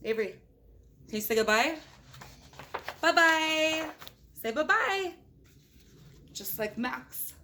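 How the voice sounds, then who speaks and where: Lady reading a kids book out loud, bit high and creaky, playful n chatty w little ones, slight noise behind. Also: expressive, slow pace, pauses often. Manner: playful, chatty, talking to small children. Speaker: storybook reader. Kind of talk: animated read-aloud of a picture book with casual asides to young listeners.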